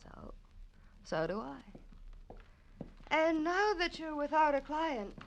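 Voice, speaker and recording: A woman's voice: high-pitched, sing-song, takes it slowly, highs cut off, a little hiss or hum, exaggerated voice. An actress playing a brash, cynical young woman writer with a snappy, unsentimental delivery in old radio detective style. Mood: cool, sly. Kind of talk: 1940s-50s radio detective acting.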